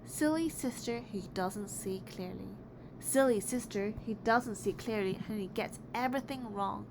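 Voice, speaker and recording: A woman voicing an imaginative, quietly defiant little boy in a storybook, with an emphatic delivery. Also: mid-range voice, a little slow. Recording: clearly noisy background.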